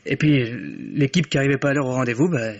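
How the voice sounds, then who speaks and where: An actor playing a naive, cocky teenage joyrider in a French radio mystery, stammering and hesitating earnestly. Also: male voice, medium pitch, fast talker, darkish tone, natural voice. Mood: sheepish, proud. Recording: muffled vintage radio recording.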